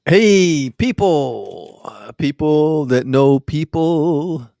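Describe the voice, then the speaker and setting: A man's voice: fairly high pitch, lively intonation, very slow, creaky, dark tone, no room sound, studio quality. A man co-hosting a podcast in casual chat, playful and teasing. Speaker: podcast co-host.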